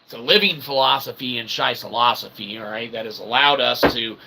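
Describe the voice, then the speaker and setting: A man's voice: mid-range voice, fairly quick, vocal fry, very noisy. A man delivering a rambling, off-the-cuff monologue in pompous, convoluted phrasing, often checking in with "okay" and "all right". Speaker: self-styled philosopher and channel host.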